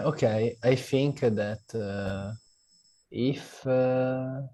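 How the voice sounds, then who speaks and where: A man moderating an online seminar, thanking the speaker and inviting questions in a halting way with many fillers, over a video call. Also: medium pitch, lively intonation, gravelly, a little mellow, no room sound. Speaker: session moderator.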